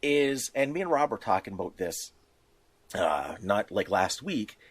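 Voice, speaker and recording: A man's voice: on the low side, sing-song, measured pace, breathy edge, dry recording. A man co-hosting a casual podcast, explaining things emphatically and posing rhetorical questions. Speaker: podcast host.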